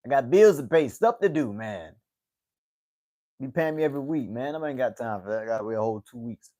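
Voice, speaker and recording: A man's voice: mid-range voice, expressive, brisk, dark tone. A man in his late twenties chatting casually on his own livestream, rambling and repeating himself, with "man" at the end of many sentences. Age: 20s. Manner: rambling, repeats words, says "man" a lot. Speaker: livestream host.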